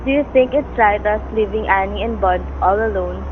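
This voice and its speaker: A woman playing a worrying, gentle wife and mother in a radio suspense play. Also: medium pitch, fairly quick, natural voice. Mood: worried. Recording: phone-like radio sound, faint noise.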